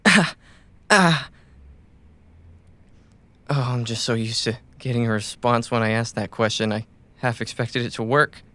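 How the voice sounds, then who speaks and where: A loyal, wounded male runner from a cyberpunk audio drama. He speaks very slowly at an ordinary male pitch, with a tender, halting, reassuring manner. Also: pushed and bright. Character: wounded, loyal male caravan runner gently soothing his companion.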